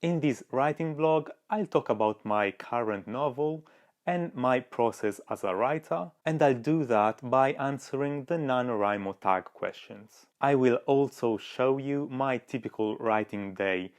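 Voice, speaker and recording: A man's voice: mid-range voice, unhurried. Italian-accented man narrating a writing vlog. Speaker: writing vlogger. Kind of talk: scripted vlog voice-over.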